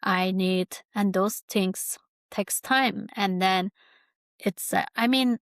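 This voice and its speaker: Slow, halting woman. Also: medium pitch, no room sound, studio quality. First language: Kurdish. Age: 30s. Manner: halting, searching for words, many fillers. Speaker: podcast host. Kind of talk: reflective personal monologue.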